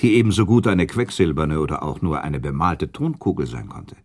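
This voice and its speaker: A man's voice: rumbling low, sing-song, fairly quick, darkish tone, full character voice. A man playing a noble, sceptical prince in a German radio play, arguing insistently and reasoning with rhetorical questions. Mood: probing, argumentative.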